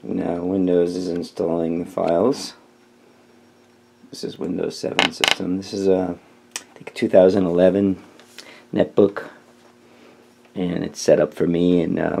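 A man's voice: deep voice, creaky, darkish tone, breathy edge. A man hosting a tutorial, talking through steps in a casual, informal how-to style. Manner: informal, step-by-step instruction. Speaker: tutorial host.

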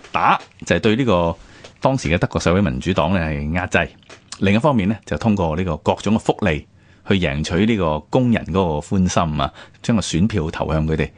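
A man's voice: low voice, dry recording. A man from Hong Kong with a Hong Kong Cantonese accent discusses history conversationally, explaining points with rhetorical questions. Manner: explanatory, with rhetorical questions and frequent sentence particles. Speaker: radio programme presenter. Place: radio studio.

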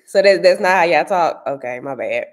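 A woman in her thirties with a St. Louis accent, chatting casually to viewers from her dining room, animated and often searching for words. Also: lowish voice, moderate pace. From Saint Louis area. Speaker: vlogger answering viewer comments. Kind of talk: casual chat.

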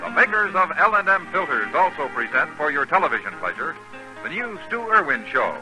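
A man's voice: a little high, big pitch swings, fairly quick, poor audio. An American man announcing in scripted 1950s radio style. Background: faint music.

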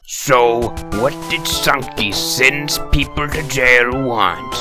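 A man's voice: mid-range voice, slow pace, music in the background, close to an everyday voice. A man voicing a grumpy, sarcastic middle-aged cartoon villain in a comic dub. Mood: sarcastic, sour.

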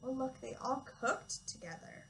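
Young female preschool teacher, high-pitched, simple instructive read-aloud delivery for toddlers, noisy room recording. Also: lively intonation, moderate pace. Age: young adult. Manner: simple, instructive phrasing with questions to children. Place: small classroom, indoors.

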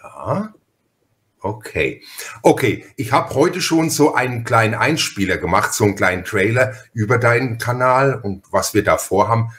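A man's voice: medium pitch, expressive, normal speed, fairly bright tone. A man hosting a podcast interview in a casual, chatty and wordy way, often interrupting himself and repeating words.

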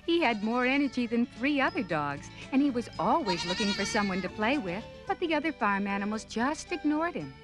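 High-voiced woman storyteller. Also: very animated, a little fast, hardly any pauses, music in the background. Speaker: narrator. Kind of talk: scripted children's story narration.

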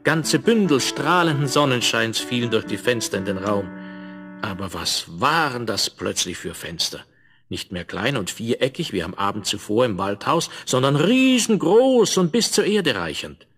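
A man narrating a scripted fairy tale for a 1970s audio play, with an expressive storyteller's delivery. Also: medium pitch, normal speed, slightly rough, soft background music.

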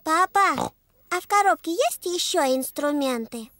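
A woman's voice: high voice, lively intonation, a little slow, pushed and bright, dry recording, soft background music, heavily acted. A woman voicing a cheerful, bossy little girl piglet in a children's cartoon dub, with simple, emphatic childlike phrasing. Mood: eager.

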